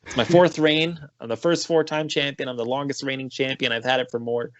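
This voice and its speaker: A man's voice: medium pitch, brisk, no room sound, dull audio. A man with a Canadian accent, a pro wrestler talking casually in an interview with lots of fillers. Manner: relaxed, chatty, with frequent fillers.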